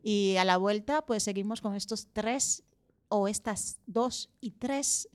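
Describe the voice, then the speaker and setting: A woman's voice: mid-range voice, slow pace, a little mellow, a little hiss or hum. A woman hosting a casual radio interview with children, playful and teasing, often laughing. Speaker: radio host. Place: radio studio.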